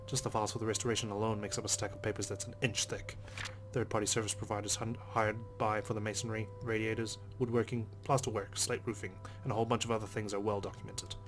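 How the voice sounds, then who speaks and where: A man's voice: fairly low pitch, flat delivery, quick pace. A man reading a visual novel's narration aloud as a let's play host. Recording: game music behind the voice. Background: game music.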